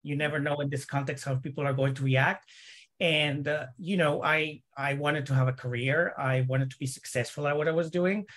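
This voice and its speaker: A man from El Salvador, speaking as a panel guest in a measured way. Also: a little high, steady tone, fairly bright tone. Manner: measured, with "uh" pauses. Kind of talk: panel discussion.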